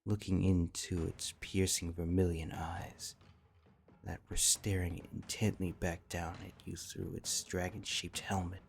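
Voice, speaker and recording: A man's voice: deep voice, flat delivery, a little slow, creaky, slight background noise. A man reading a scripted second-person fantasy story as its narrator. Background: faint music.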